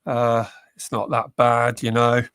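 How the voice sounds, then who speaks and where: A man's voice: medium pitch, monotone, slow, fairly bright tone, smooth, no echo, clean studio sound. A man with a cold, chatting hesitantly as a guest on a live stream. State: bunged up with a cold. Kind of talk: casual chat.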